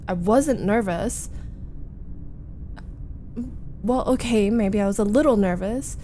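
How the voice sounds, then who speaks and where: A woman voicing a flustered, needy young roommate in a chatty, halting, defensive roleplay audio, confiding as she vents. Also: a little high, warm tone, a little hiss or hum. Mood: sheepish, defensive. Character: flustered, needy young female roommate venting about a date. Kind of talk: roleplay ASMR audio acting.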